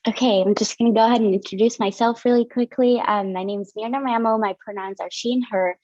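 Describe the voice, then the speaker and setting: A woman's voice: on the high side, steady tone, quick pace, darkish tone, no room sound. A young woman from Syria, a student finance officer, explains funding policies on a video call in a casual way with many fillers. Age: young adult. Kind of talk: presenting over a video call. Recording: dull lo-fi video call audio.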